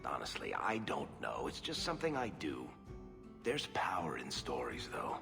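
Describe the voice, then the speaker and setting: Roguish dwarf storyteller for a game, wry and playful, long reverb, loud music underneath. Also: male voice, rumbling low, huge pitch swings, moderate pace, creaky, exaggerated voice. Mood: wry, musing. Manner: wry, theatrical storytelling delivery. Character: roguish male dwarf storyteller, cocky, playful, fond of exaggeration. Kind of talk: video game voice acting.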